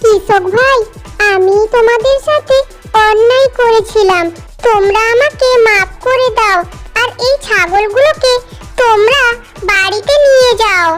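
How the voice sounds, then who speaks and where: A sly little fox from a children's cartoon. The voice sits extremely high, almost childlike, and chatters in a wheedling, scheming way. Loud music plays behind it. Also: a little slow. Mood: pleading. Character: cunning fox scheming to farm goats. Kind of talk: animated children's story dialogue.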